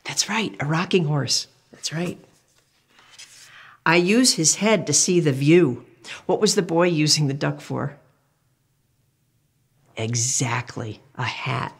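Older female storyteller, lowish voice, very animated delivery, reads to kids and quizzes them. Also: breathy edge. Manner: animated, exclamatory storyteller who asks the child questions. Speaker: children's book reader. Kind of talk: reading a picture book aloud to children.

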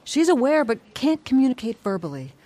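A woman's voice: high-pitched, sing-song, brisk, dark tone. An actress playing a middle-aged, concerned and encouraging mother in a radio drama.

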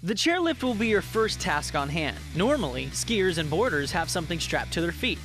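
Young male TV reporter, high voice, chatty and enthusiastic. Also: sing-song, normal speed, music in the background, poor audio. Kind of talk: upbeat travel report narration.

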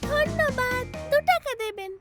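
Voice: very high-pitched, animated, measured pace, over loud music. A performer voicing a cheerful, inventive little girl in a children's cartoon, with an eager, childlike manner. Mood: playful.

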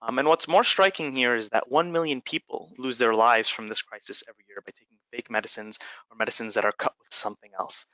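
A man's voice: medium pitch, quick pace, sharp tone, dry recording, muffled recording. A man from Egypt, a startup founder, giving an earnest webinar presentation with many fillers.

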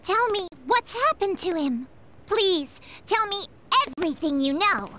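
A woman voicing a young, earnest, feisty hedgehog girl in an animated video game. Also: very high-pitched, measured pace, full character voice. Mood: pleading. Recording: some background noise and ambience.

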